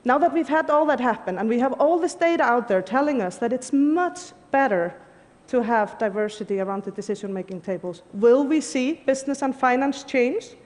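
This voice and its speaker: A woman's voice: on the high side, animated, a little fast, darkish tone. A woman, giving an earnest, emphatic prepared talk on stage. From Iceland. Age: 40s. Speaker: conference speaker. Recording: long hall reverb.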